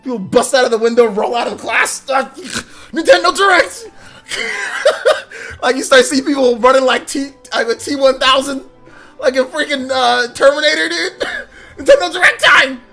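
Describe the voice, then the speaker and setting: A man hosting a casual livestream, rattling off upbeat shout-outs to viewers, with a slightly stuffy nose. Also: very high voice, soft background music. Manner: upbeat, rapid shout-outs, laughs often. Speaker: livestream host. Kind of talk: casual livestream chat, reading out viewer names.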